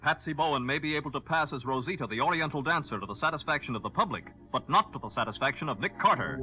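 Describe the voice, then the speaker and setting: A man's voice: on the high side, lively intonation, quick pace. A man narrating a 1940s radio drama as announcer, dramatic and emphatic. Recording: muffled old radio broadcast with music.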